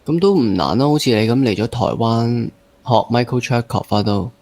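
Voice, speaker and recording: A man with a Hong Kong accent hosts a relaxed, conversational interview. His voice has a middle pitch for a man, and he often repeats or rewords a phrase while working out his point. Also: fairly quick. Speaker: interview host.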